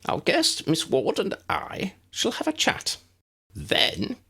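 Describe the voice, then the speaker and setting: A man puts on a kindly little old wizard professor for a storybook. The voice is lifted high and swoops about, genteel and precise, with an avuncular, excitable air. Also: slow, slightly rough, exaggerated voice. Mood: mock stern.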